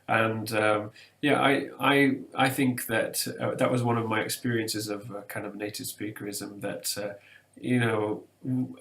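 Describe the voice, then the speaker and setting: A man's voice: on the low side, a bit bright, reverberant. A man, talking through ideas in a thoughtful, hesitant way with many fillers and restarts. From England. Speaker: webinar co-presenter. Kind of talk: casual academic discussion. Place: room lined with bookshelves, indoors.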